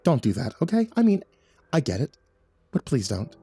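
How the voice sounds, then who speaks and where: A man's voice: a little high, expressive, brisk, dark tone, no room sound, voice pushed up, close to an everyday voice. A man voicing a timid, eager-to-please male worker in an audiobook, hesitant and pleading. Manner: pleading, stammering, chirpy. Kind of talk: audiobook character voice.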